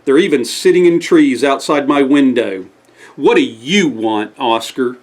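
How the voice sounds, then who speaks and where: A man's voice: mid-range voice, measured pace, close to an everyday voice. A man voicing a grumpy, unfriendly squirrel in a bedtime story, curt and irritable. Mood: grumbling. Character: grumpy, unfriendly male squirrel who wants solitude.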